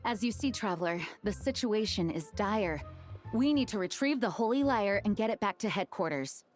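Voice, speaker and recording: A woman playing a composed, busy, practical comrade in a video game, speaking in a measured, dry way. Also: mid-range voice, expressive, faint music behind, close to an everyday voice. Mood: grave.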